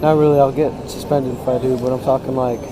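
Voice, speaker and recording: A man's voice: medium pitch, little pitch movement, fast, a crowd in the background, low-quality recording. A young man vlogging outdoors on a campus, joking and mock-boasting in a casual, teasing way. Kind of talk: casual joking vlog chat. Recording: outdoor phone recording with traffic noise. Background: street and traffic noise.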